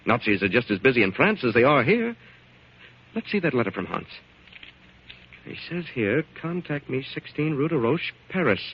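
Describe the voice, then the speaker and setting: A man's voice: medium pitch, very animated, moderate pace, a little hiss or hum, lightly acted. An actor playing a soothing, evasive young man in 1940s American radio drama, placating and hesitant. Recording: muffled 1940s radio recording.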